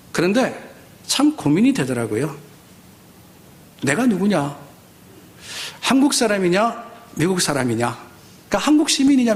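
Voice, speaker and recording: A middle-aged man with a somewhat high-pitched voice preaches as a pastor. He speaks in a conversational, storytelling way, often putting rhetorical questions to his congregation. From South Korea. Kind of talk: Sunday sermon with personal anecdotes.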